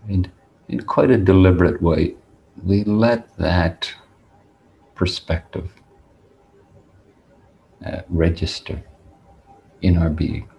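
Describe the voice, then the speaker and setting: Male meditation teacher, low gravelly voice, deliberate phrasing with long pauses. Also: dark tone, dull audio. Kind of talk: guided meditation talk.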